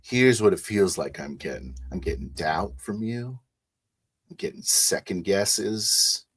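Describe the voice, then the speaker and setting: Man playing a low, husky streetwise vampire boss. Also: expressive, measured pace. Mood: bitter, menacing. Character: gruff, streetwise, resentful male vampire boss.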